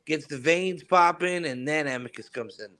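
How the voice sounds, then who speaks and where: A man co-hosting a rowdy sports podcast, talking loud, brash trash talk. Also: on the high side, moderate pace. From Cuban and Italian heritage. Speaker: podcast co-host.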